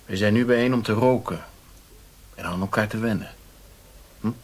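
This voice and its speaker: An actor playing a controlling boss of an opium house in a radio play, measured, courteous and commanding. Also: male voice, on the low side, natural voice. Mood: controlled, sly. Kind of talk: radio drama acting.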